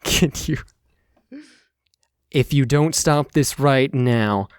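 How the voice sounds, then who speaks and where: Flustered middle-aged male hero for a tabletop game, played by a man: formal, halting, unhurried, close dry sound. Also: mid-range voice, clean tone, dry recording, close to an everyday voice. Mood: exasperated pleading. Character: middle-aged male superhero guest speaker, exasperated and pleading.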